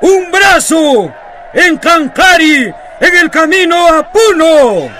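An actor playing a stern colonial official, declaiming solemnly like a town proclamation in a radio drama. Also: male voice, very high-pitched, lively intonation, slow, shouting, crowd noise, background noise, full character voice. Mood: stern, proclaiming.

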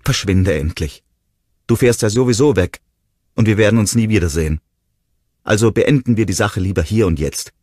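Ein Mann spricht eine junge Frau, verletzt und wütend, mit schrillen, flehenden Ausbrüchen. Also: male voice, sing-song, moderate pace, husky, no room sound, clean studio sound, full character voice. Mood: furious. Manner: shrill, pleading outbursts. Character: young woman, hurt and furious.

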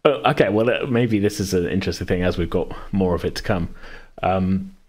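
A man's voice: low-pitched, fairly quick, a little mellow. A man hosting a podcast in casual chat, self-deprecating and given to chuckling. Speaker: podcast host and game master.

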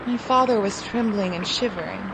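A woman reading a literary story in the first person, expressive, vivid and deliberate. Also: mid-range voice, gravelly, noisy background. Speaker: story reader.

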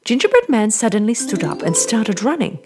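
A woman narrating a scripted children's fairy tale in an expressive storytelling style. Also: mid-range voice, a little fast. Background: music.

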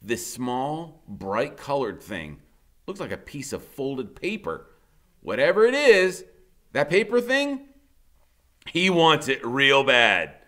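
Animated, bright-voiced American man reading aloud. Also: mid-range voice. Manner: dramatic, conversational, drawn-out emphasis on key words. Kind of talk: expressive first-person storybook reading. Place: indoor classroom in front of a whiteboard.

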